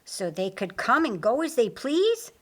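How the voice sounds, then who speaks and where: A woman's voice: fairly high pitch, sing-song, measured pace, fairly bright tone, voice pushed up, full character voice. A woman voicing a curious man in an audiobook, wondering and questioning. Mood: curious, worried. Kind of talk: audiobook character acting.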